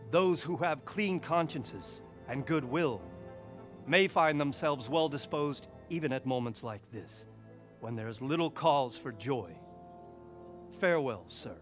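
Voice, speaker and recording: An actor playing a dignified, wily medieval burgrave in a game cutscene, speaking in a measured, courtly way. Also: male voice, medium pitch, animated, soft background music, lightly acted. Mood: diplomatic, guarded. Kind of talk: video game cutscene acting.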